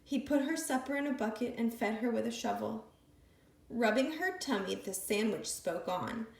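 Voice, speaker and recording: Lady reading a kids book out loud, bouncy voice, echoey room. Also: fairly high pitch, moderate pace, vocal fry. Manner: expressive, lively storytelling. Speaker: storybook reader. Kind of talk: picture-book read-aloud.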